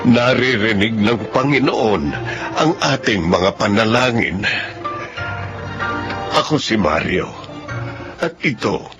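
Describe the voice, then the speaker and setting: An actor playing a devout, emotional brother in a Filipino radio drama, with fervent delivery and a Filipino accent. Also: male voice, lowish voice, expressive, takes it slowly, long pauses. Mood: reflective narration. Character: devout, emotional man reunited with his long-lost sister. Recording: muffled broadcast with music underneath.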